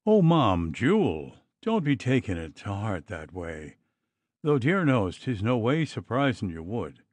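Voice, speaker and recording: A man's voice: on the low side, very animated, measured pace, dry recording. A man reading an audiobook, voicing a gossipy maidservant in a gushing, dramatic manner. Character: gossipy Irish maidservant, woman.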